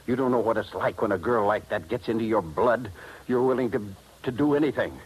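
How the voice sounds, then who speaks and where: An actor playing a desperate, lovesick swindler, halting and overwrought, in 1950s radio drama style. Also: male voice, medium pitch, husky, natural voice, poor audio. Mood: anguished. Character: desperate, lovesick male swindler, intense and tormented. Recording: vintage 1950s radio recording.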